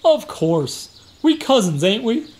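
A man's voice: high voice, very animated, slow pace, a little mellow, heavily acted. A man playing a boastful, evasive security guard in a comic radio drama, folksy, slangy and given to exaggeration. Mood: earnest, indignant. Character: boastful, evasive tough-guy security guard, a fibbing male cousin.

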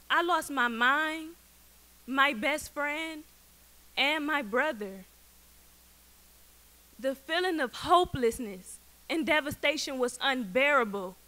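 A young woman in her late teens with an American accent, reading a prepared personal speech in an emphatic, dramatic way with deliberate pauses. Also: high-pitched, bright, forceful tone, poor audio. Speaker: student essay contestant. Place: auditorium stage, indoors. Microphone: podium microphone.

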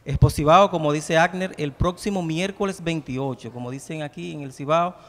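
Energetic Dominican man event organizer. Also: on the high side, normal speed. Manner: energetic, projecting, listing details. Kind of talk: formal guest interview. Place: TV studio set. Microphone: handheld mic. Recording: studio with long reverb.

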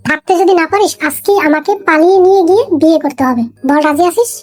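A woman playing a bossy, scheming young girlfriend in a comic cartoon, coquettish and sharp-tongued. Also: very high-pitched, fast talker. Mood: demanding. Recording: music behind the voice.

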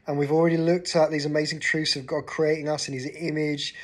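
Earnest English pastor talking to camera. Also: male voice, a little high, level tone, brisk. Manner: earnest, gestures with his hands while talking. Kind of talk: short devotional talk to camera. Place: living room, seated on a sofa indoors.

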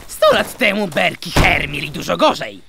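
Wildly swooping, creaky, theatrical cartoon tomcat played by a woman. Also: lowish voice, heavy background noise, heavily acted. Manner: grandiose, melodramatic, teasing. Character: manic, theatrical young male cat bootlegger with poetic flair. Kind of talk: animated cartoon dubbing.

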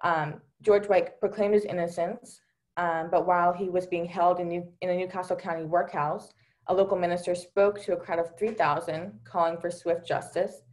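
A woman's voice: on the low side, steady tone, moderate pace, a little mellow. Earnest young woman on a video call. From Delaware, USA. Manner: earnest, many fillers like um and you know. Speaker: panelist. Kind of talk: personal account on a virtual panel.

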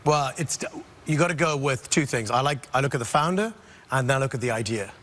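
A man in his forties from Zambia, a guest on a studio talk show, speaking in a confident, relaxed way. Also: mid-range voice, brisk. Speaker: investor guest. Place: TV studio set. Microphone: lapel mic.

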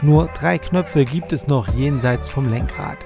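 A man's voice: mid-range voice, a little slow, music in the background. A man reading a scripted voice-over for a television news report. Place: voice-over recording with music behind.

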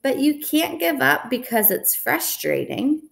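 A woman's voice: sits high, big pitch swings, a little slow, gravelly, pitched above the performer's own voice, full character voice. A woman plays a patient storybook mother turtle. She speaks in a raised, reassuring voice, coaxing and encouraging her child.

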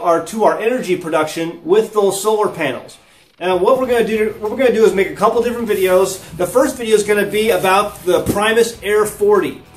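A man with an American accent and a notably high voice talks casually to viewers as a vlog host. He sounds like he is in a roomy, echoey living space a few feet from the camera, with some music behind him. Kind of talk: casual product unboxing and explanation to viewers. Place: living room with wood floor and large windows. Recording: roomy indoor echo.

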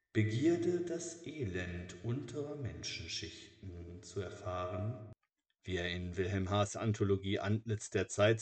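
A man narrating a biography from a script in a measured, matter-of-fact way, like an audiobook. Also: on the low side.

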